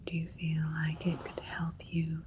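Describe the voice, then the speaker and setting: A woman playing a calm, caring alien doctor in an ASMR roleplay, speaking in a soft breathy whisper with a gentle, clinical, reassuring manner. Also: low voice, normal speed, noisy background, close to an everyday voice. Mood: gentle, polite.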